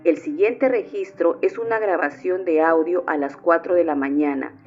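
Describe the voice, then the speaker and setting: A woman with a slightly low voice reads scripted narration. The sound is dull and lo-fi, and music runs underneath. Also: normal speed, darkish tone.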